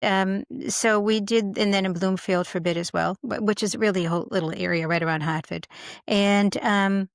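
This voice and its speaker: A woman's voice: mid-range voice, fairly even intonation, gravelly, dry recording, studio quality. An older woman with a slight Boston accent, candidly telling stories as an interview guest. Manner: candid, storytelling, quick asides. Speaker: guest, integrative medicine coach. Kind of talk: casual interview chat.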